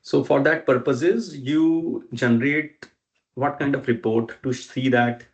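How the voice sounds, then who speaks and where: A man in a work meeting asking questions and checking his understanding, halting as he goes. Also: medium pitch, muffled recording. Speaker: interviewer documenting a work process. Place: recorded online work meeting.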